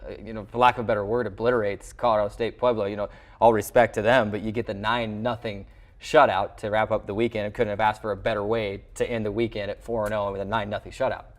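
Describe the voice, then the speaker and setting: A man's voice: lowish voice, little pitch movement, quick pace. A man hosting a sports interview show in a television studio, with a wordy broadcaster's way of presenting.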